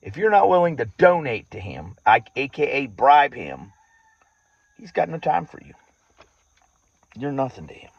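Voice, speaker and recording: A man's voice: medium pitch, big pitch swings, moderate pace, gravelly, long pauses, no room sound. A man delivering an indignant, emphatic personal monologue to an audience, repeating phrases for weight. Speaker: farmer and activist speaking to followers. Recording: dull lo-fi audio.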